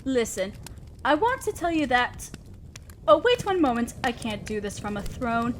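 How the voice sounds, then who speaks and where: A commanding female demon boss, acted by a woman, with a high voice and a theatrical, imperious delivery. She scolds and teases like a ruler who still protects her own. The audio roleplay has faint ambient noise behind it. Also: very animated, moderate pace. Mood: softer, reassuring. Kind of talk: scripted audio roleplay addressed to the listener.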